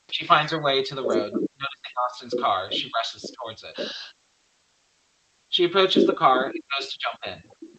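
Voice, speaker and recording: A man's voice: medium pitch, sharp tone, poor audio. A man narrating stage directions from a script at a virtual table read.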